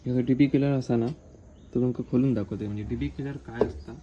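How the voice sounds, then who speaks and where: A man with a Malvani accent talks informally, explaining his work step by step like a motorcycle vlogger. His mid-pitched voice stays quite flat. The handheld phone recording has clear background noise. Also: brisk, lo-fi sound. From Konkan, western India. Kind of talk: casual hands-on restoration vlog.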